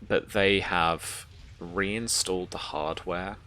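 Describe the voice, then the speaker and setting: A woman's voice: extremely low pitch, steady tone, slow pace, fairly bright tone. A woman running a tabletop roleplaying game, speaking casually and improvising with some hesitation. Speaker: game master.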